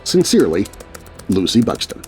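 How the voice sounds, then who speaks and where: A man reading a children's scary story aloud, voicing letters in a scripted, expressive narration. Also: lowish voice, moderate pace, faint music behind.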